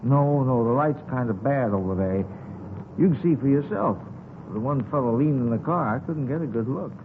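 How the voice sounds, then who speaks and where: An American actor playing a chatty, folksy newsstand owner who rambles off the point, in 1950s radio drama style. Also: male voice, mid-range voice, animated, normal speed, lightly acted. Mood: matter-of-fact, defensive. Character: chatty, rambling newsstand owner, a married man witnessing a shooting. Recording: noisy, tinny 1950s radio recording.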